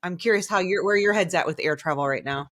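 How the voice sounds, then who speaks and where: A woman's voice: fairly low pitch, quick pace, a bit bright, dry recording. Blunt woman podcaster, close dry sound. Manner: opinionated, blunt, mildly sweary. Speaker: podcast co-host. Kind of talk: casual candid chat.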